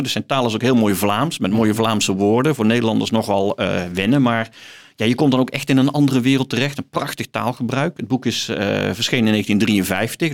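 A man talking as an interview guest, haltingly, repeating words as he searches for his phrasing. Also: lowish voice. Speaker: guest, philosophy professor. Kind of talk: conversational book interview.

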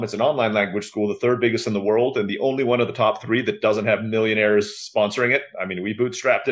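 A man from Istanbul, Turkey, explaining things casually and fluently as an interview guest, with self-deprecating asides. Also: lowish voice. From grew up in Istanbul, Turkey. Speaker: podcast guest, entrepreneur.

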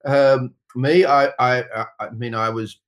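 A middle-aged New Zealand man talks as an interview guest at a middling pitch. He rambles and halts, stitching his thoughts together with lots of "you know"s. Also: fairly even intonation, a little slow. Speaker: interview guest, tech company co-founder. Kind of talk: conversational interview answers.

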